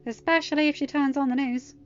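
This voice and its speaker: A woman's voice: high voice, fairly even intonation, fast talker, highs cut off, soft background music, voice pushed up, natural voice. A woman voicing a concerned, reassuring young female friend in audiobook dialogue. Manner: questioning, reassuring.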